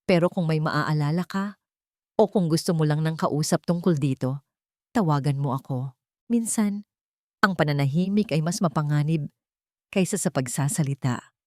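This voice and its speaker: A woman narrator plays a concerned male reporter from a dramatic audio story. The delivery is earnest and measured, gently persuasive. The sound is dry and close. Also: low voice, dry recording, natural voice. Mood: gently urging.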